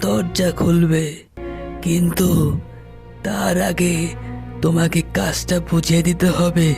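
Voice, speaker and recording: A man voicing the faceless ghost of a postmaster in a horror cartoon, deliberate and ominous. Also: sits high, level tone, gravelly, exaggerated voice. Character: faceless ghost of a suicidal postmaster, calmly menacing. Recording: faint music behind the voice.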